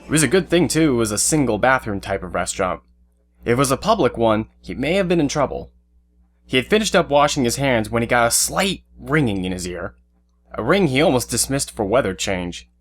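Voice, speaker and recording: A man's voice: medium pitch, very animated, fairly quick, a little hiss or hum. A man giving scripted third-person narration for an audio drama. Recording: faint music under narration. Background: faint music.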